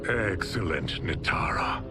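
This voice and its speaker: A menacing male sorcerer in a dramatic game scene. He speaks slowly and imperiously in a fairly low voice, with formal, cold authority. Loud music runs beneath him. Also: animated. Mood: cold menace. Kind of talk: dramatic video game cutscene acting.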